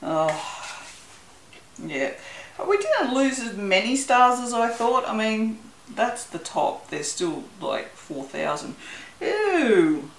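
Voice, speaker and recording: Australian woman, easygoing craft vlogger, unhurried delivery, echoey workshop room. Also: on the high side, wildly swooping pitch, creaky. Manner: chatty, rambling, laughs often, swears casually. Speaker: soap-making channel host. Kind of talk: casual chat while working.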